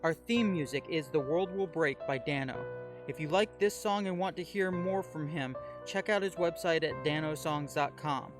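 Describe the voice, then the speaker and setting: A man's voice: fairly high pitch, normal speed. A man reading a scripted podcast outro as an announcer, in a promotional narration style. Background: music.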